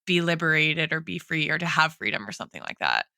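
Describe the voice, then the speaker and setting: A woman's voice: low voice, huge pitch swings, fast, gravelly, bright, forceful tone, no room sound, clean studio sound. A woman co-hosting a podcast, reasoning aloud in a conversational, academic way and often phrasing her points tentatively. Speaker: podcast co-host. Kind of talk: analytical conversational discussion.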